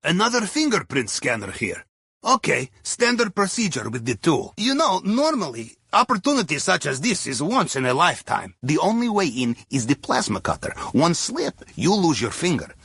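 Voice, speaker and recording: A man playing a wry Russian submarine captain in a video game, briefing a heist crew with deadpan, folksy remarks and a Russian accent. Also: a little high, moderate pace, creaky, slightly rough, dry recording, studio quality, full character voice. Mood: wry, focused. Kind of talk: scripted game mission briefing.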